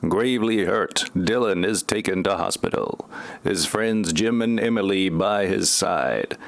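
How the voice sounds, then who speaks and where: A man narrating a parody audio play in a deadpan, pompous, mock-serious way. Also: low voice, unhurried, vocal fry, no echo.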